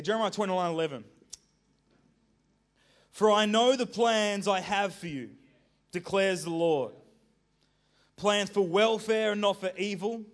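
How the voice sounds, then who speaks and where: An Australian man preaches in a voice pitched a little above average. His delivery is casual and joking, more conversation than oration. He stops and starts as he goes, moving between quiet asides and louder emphasis. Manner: casual, joking, halting with swings from soft to loud. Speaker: visiting preacher, pastor. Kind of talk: informal sermon to a congregation.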